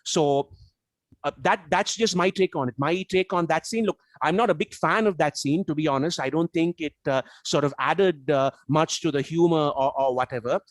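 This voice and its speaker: A man who grew up speaking Tamil talks through his argument as a guest reviewer. His voice sits somewhat high and the sound is dry. He reasons carefully, often doubling back on his words as he goes. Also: normal speed, no echo. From South Asia, Tamil-speaking region. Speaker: guest reviewer on a book discussion. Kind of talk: casual analytical discussion.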